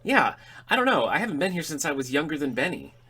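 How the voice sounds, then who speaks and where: A man's voice: on the high side, big pitch swings, quick pace, sharp tone, husky, exaggerated voice. An actor playing a wry, sarcastic, slightly uneasy middle-aged father in an audio drama comedy. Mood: wry, reminiscing. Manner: dry, sarcastic. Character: wry, grumbling, uneasy father exploring an inherited house.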